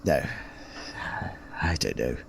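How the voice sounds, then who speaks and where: An actor playing a proud, excitable and hapless gentleman trophy hunter in a science fiction audio drama, courtly and theatrical. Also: very deep voice, slow, gravelly, a little hiss or hum, exaggerated voice. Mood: flustered.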